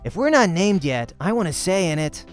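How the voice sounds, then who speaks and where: A man's voice: high-pitched, very animated, normal speed, soft background music, pitched above the performer's own voice. A man voicing a cocky, hot-tempered young male pro gamer in a visual novel, brash and slangy. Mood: eager, bold.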